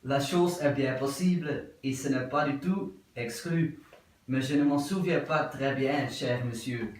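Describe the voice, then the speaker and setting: A man playing a prim, politely puzzled middle-aged English wife in an absurd stage play, with formal, measured, repetitive speech. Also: mid-range voice, natural voice. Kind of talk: theatre acting of an absurd play. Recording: very echoey room, voice from far off.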